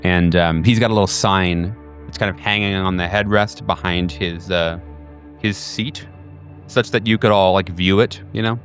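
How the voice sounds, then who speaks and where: A man in a low voice runs a tabletop horror game, describing scenes loosely with many ums. Faint music plays underneath. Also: fairly even intonation, normal speed, fairly bright tone. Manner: rambling, filler-heavy, with many ums and uhs. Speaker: game master.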